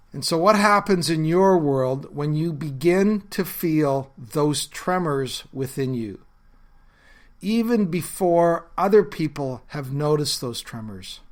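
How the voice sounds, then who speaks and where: A man hosting his own podcast as a pastor, talking in an earnest, conversational way with frequent fillers, his voice lowered by a bad cold. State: has a bad cold, voice lower than normal. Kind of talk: reflective solo podcast talk.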